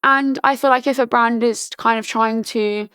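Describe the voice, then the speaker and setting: A woman in her early twenties from Britain, chatting casually as a podcast guest, full of "like" fillers and restarts, her voice slightly hoarse. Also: a little high, steady tone, normal speed, a bit bright, no echo, clean studio sound. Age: early 20s. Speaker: podcast guest, charity founder. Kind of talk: casual interview chat.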